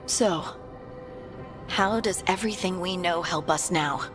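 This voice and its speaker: An actress playing a serious, matter-of-fact adventurer companion in naturalistic video game dialogue. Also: female voice, fairly low pitch, unhurried, over music, natural voice.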